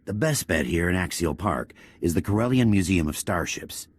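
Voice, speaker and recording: A man playing a matter-of-fact military advisor with a husky voice in a video game. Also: low voice, animated, moderate pace, no room sound. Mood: informative. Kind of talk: video game cutscene acting.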